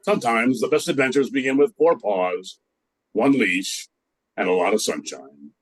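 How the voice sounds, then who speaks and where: A man's voice: medium pitch, lively intonation, normal speed, low-quality recording. An older man narrating a scripted radio play in a storytelling style.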